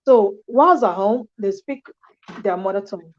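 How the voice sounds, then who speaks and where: A woman hosts an interview in a casual, conversational way. She often corrects herself mid-sentence. Her voice is mid-pitched, and the recording sounds dull and lo-fi. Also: moderate pace. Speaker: interviewer host.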